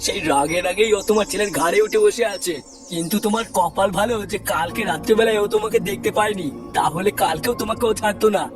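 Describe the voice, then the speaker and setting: A man acts out a solemn village mystic who banishes ghosts in a comedy cartoon. His high voice moves briskly and commands with authority. It comes from a little far off, under loud music. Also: close to an everyday voice. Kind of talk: dramatic comic cartoon dialogue.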